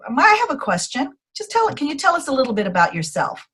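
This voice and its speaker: A woman hosting a school's morning news show, addressing children in a warm, teacherly way and interviewing a guest. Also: high-pitched, huge pitch swings, fast, slight background noise.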